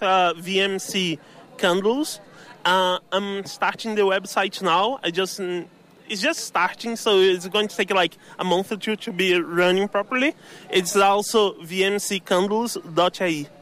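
Halting, high-voiced young Irish man. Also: fairly even intonation, a bit bright. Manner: halting, searching for words. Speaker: candle maker, stall holder. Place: outdoor show stall.

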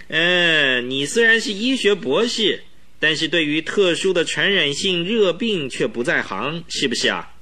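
High, raspy, arrogant villainous doctor. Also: male voice, expressive, a little slow, bright, forceful tone, exaggerated voice. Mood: sly, mocking. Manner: haughty barking, gloating chuckles. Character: arrogant, irascible eccentric male medical specialist, sly villain. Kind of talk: Mandarin radio drama acting.

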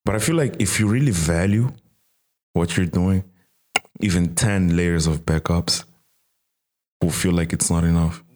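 Young dude hosting, low voice, flips between english and portuguese. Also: male voice, measured pace, pauses often, darkish tone. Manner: conversational, switches between English and Portuguese mid-sentence. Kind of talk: casual chat.

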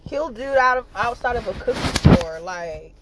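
A woman's voice: a little high, expressive, normal speed, very noisy. A woman with a stuffy nose giving a casual, blunt commentary monologue as a podcast host, rambling and full of fillers and swearing.

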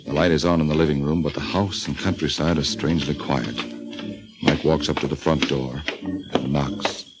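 Man, radio drama narrator, exceptionally deep pitch, suspenseful storytelling. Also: fairly even intonation, moderate pace, loud music behind the voice. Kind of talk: scripted radio mystery narration.

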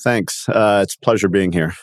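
Low-ish voice guy in his 60s from miami, born in cuba, halting interview storytelling, dry sound. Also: dry recording. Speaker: podcast guest, company founder.

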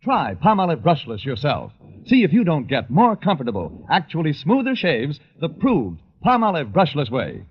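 A man's voice: a little high, huge pitch swings, normal speed, husky. A man reading a 1940s-style radio commercial as an announcer. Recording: muffled vintage radio recording.

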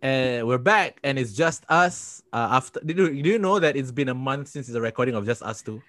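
A young Singaporean man with a Singaporean accent, hosting a podcast in animated, teasing casual chat with stumbling restarts. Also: mid-range voice, fairly quick, no echo. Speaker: podcast host.

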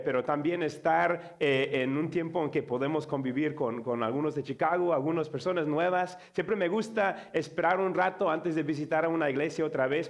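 Man, Mexican accent, middle-aged, strained voice, somewhat high, guest pastor, joking sermon, lots of filler pauses. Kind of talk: sermon introduction.

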